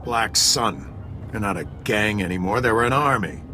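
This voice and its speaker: A man's voice: on the low side, animated, normal speed, bright, forceful tone, faint music behind. An actor voicing a battle-weary male army sergeant with clipped military delivery in a sci-fi video game. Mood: defeated.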